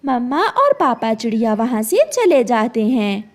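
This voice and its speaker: Slow-paced woman narrating a children's story. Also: a little high, very animated. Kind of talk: scripted cartoon story narration.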